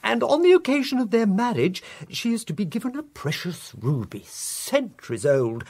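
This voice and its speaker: A polished, discreet diplomat. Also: male voice, high voice, big pitch swings, moderate pace, darkish tone, exaggerated voice. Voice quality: smooth, formal, persuasive. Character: polished discreet male diplomat, middle-aged. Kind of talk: 1960s radio drama acting.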